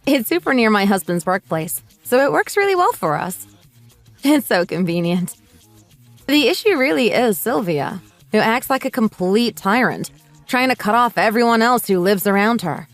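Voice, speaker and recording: A woman's voice: on the high side, expressive, moderate pace, soft background music. A woman narrating a scripted first-person story.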